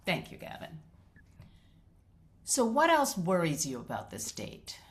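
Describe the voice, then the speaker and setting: An actress reading a script as a patient, supportive therapist, speaking in a measured, gentle, professional way. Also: female voice, fairly low pitch, wildly swooping pitch. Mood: patient, probing. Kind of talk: dramatic script read.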